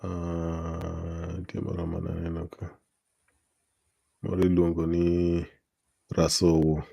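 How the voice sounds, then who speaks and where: A man's voice: low-pitched, completely flat delivery, slow pace, creaky, dry recording, highs cut off. A man with a Kenyan accent, hosting a podcast in a casual, conversational style. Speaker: podcast host. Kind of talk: casual bilingual chat.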